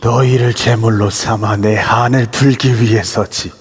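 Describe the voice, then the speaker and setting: A man's voice: mid-range voice, fairly even intonation, unhurried, breathy edge. A man playing the vengeful, menacing ghost of an old-time lord in an amateur audio drama, speaking in archaic, imperious phrases. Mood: cold menace. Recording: long reverb throughout.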